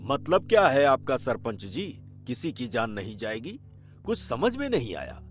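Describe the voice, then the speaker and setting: A man voicing an anxious villager in an animated story, speaking slowly and expressively, with music behind him. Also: medium pitch. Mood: puzzled. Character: anxious male villager questioning his headman. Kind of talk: Hindi animated story acting.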